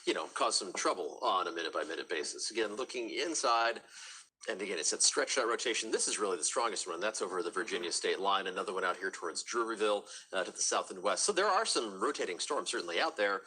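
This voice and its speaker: A man's voice: lowish voice, expressive, quick pace, sharp tone, breathy edge, rough recording. A man working as a TV meteorologist, giving live severe weather commentary in a conversational, explanatory way.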